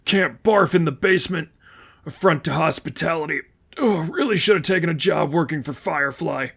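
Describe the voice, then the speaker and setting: A man's voice: a little high, normal speed, creaky, fairly bright tone, breathy edge, pitched above the performer's own voice, heavily acted. A man voicing a drunk, queasy young male henchman, miserable and whiny, breathing heavily and groaning, in a storybook reading.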